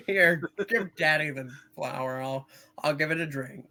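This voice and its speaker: A man playing a sharp-tempered but loving, weary father in a hammed-up live radio play reading. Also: a little high, a little slow. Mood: tender, soothing. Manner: hammed-up, exasperated, stumbling reading.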